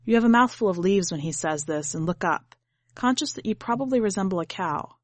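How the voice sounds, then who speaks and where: A woman's voice: medium pitch, lively intonation, a little fast, dry recording. A woman reading literary fiction as scripted narration for a podcast. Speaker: podcast host and story reader.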